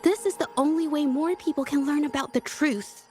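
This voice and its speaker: A woman plays a gentle young female painter from a video game, her voice high and soft. She speaks haltingly and earnestly, with a quiet resolve underneath, over clearly audible music. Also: close to an everyday voice. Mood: nervous but resolute. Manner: hesitant, soft-spoken, halting. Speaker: game character.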